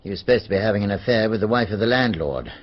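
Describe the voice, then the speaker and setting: Low, husky English police veteran. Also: male voice, animated, rapid-fire. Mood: matter-of-fact. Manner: colloquial, matter-of-fact. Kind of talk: radio drama acting. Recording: slightly dull radio drama audio.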